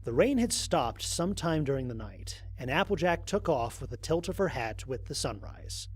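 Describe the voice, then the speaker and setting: Male actor as astronaut, husky voice, lively swooping pitch, weary and wry, dramatic mission log narration, audio drama. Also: normal speed, no echo. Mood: wry, animated.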